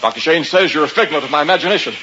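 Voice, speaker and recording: A man acts a haunted husband in a vintage radio thriller, frightened yet defiant. His high voice swings widely in pitch, anguished and pleading, through a very noisy, muffled recording. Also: expressive, very fast, natural voice. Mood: defiant, fearful. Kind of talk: 1950s radio horror acting.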